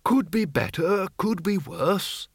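Guy doing tired old wizard man, audiobook, high voice, dry sound. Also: lively intonation, slow, slightly rough, no room sound, heavily acted. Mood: resigned. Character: weary, resigned old male wizard.

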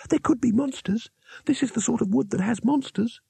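A man's voice: fairly quick, creaky, warm tone, husky, no room sound, full character voice. A man voicing a nervous young male wizard in a fantasy audiobook, anxious and jumpy. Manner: anxious, high-strung.

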